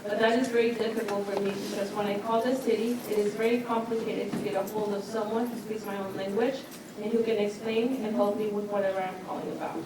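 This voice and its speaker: A woman interpreter reading a translated testimony aloud at a city hearing in a steady, plain way. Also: medium pitch, moderate pace. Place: public meeting hall. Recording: very echoey hall, distant mic, noise.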